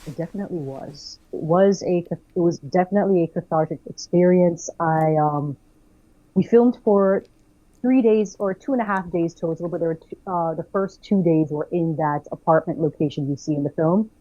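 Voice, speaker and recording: Brief: woman from Jamaica, earnest guest storyteller, lowish voice, dull dry sound. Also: normal speed, warm tone, no echo. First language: not French. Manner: earnest, laughs between phrases. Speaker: guest filmmaker. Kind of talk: interview storytelling.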